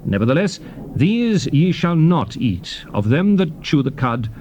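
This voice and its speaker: A man narrating a dramatized Bible reading in a solemn, stately, emphatic manner, declaiming in short measured phrases. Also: on the high side, sing-song, a little mellow, highs cut off. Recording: hissy old cassette tape.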